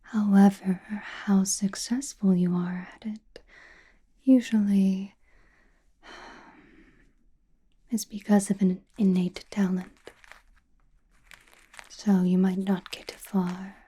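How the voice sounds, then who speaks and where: A woman playing an enigmatic, knowing sorceress in an ASMR roleplay, in a soft breathy whisper, speaking slowly and cryptically straight to the listener. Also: mid-range voice, fairly even intonation. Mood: cautioning. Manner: slow, deliberate, cryptic, addressing listener intimately.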